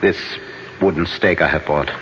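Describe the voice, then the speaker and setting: An actor playing a solemn, urgent professor who hunts vampires in a 1970s radio drama. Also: male voice, very deep voice, fairly even intonation, normal speed, fairly bright tone. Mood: grave, insistent. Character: grave, commanding male professor, a vampire hunter. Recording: muffled, noisy 1970s radio broadcast.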